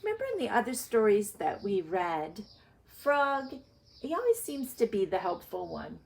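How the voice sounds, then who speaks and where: A woman teacher reading aloud to young children and explaining her thinking in a deliberate way. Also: medium pitch, very animated, vocal fry, husky, low-quality recording. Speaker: primary school reading teacher.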